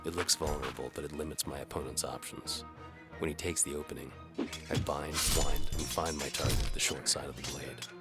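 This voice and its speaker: An extremely deep-voiced, world-weary mercenary swordsman, played by a male actor. He narrates a medieval audio drama in deadpan, wry hardboiled fashion. Loud music runs underneath. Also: brisk.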